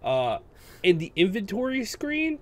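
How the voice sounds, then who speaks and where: A man co-hosting a gaming podcast, chatting casually in an animated, sweary way. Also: high-pitched, measured pace. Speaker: podcast co-host.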